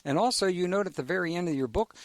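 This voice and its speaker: A man's voice: sits high, rapid-fire, no room sound. A man hosting a radio interview show, affable and reassuring in a conversational way.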